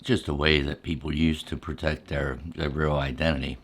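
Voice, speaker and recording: Middle-aged male, extremely low voice, halting and reflective, casual ASMR storytelling over a meal. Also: flat delivery. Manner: unhurried, halting, reflective recollection.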